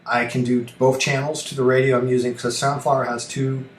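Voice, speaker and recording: A man's voice: mid-range voice, flat delivery, fairly quick. A man hosting a casual live-stream tutorial, rambling and thinking aloud in a self-deprecating way. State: allergy coughing and throat-clearing. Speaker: livestream host and ham radio operator. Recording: roomy echo, faint noise.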